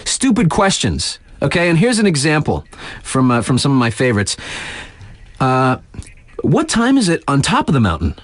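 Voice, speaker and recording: A man's voice: a little high, wildly swooping pitch, moderate pace, no echo. A man playing a laid-back, sardonic young radio DJ in a video game, reading local news in a wry, slangy, conversational way. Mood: sarcastic.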